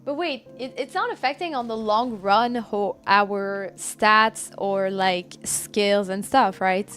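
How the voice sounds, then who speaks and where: A woman's voice: mid-range voice, slow pace, fairly bright tone, soft background music. A woman game streamer chatting casually and commenting live on her play. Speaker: game livestreamer.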